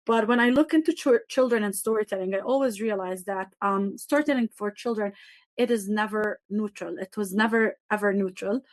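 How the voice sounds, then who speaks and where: A woman's voice: medium pitch, a little fast, no echo. Earnest woman panelist, Arabic native. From Jerusalem. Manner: earnest, reflective, with many fillers and restarts. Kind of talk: panel talk.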